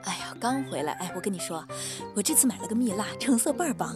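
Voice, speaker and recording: A woman's voice: medium pitch, expressive, fast, lightly acted. A woman playing a bubbly, boastful older mother in a drama, chatty, playful and nosy. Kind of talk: naturalistic drama dialogue. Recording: music behind the voice.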